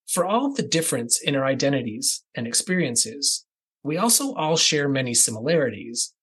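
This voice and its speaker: A man from the American Midwest hosting a podcast, reading a scripted introduction in a measured, deliberate cadence that moves through lists. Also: mid-range voice, fairly bright tone, husky, rough recording. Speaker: podcast host.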